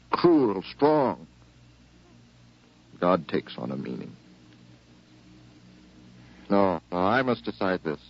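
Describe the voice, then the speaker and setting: An actor playing a troubled, earnest young soldier son home from battle, in the halting, brooding style of 1950s radio drama. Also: male voice, lowish voice. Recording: dull, noisy 1950s radio recording.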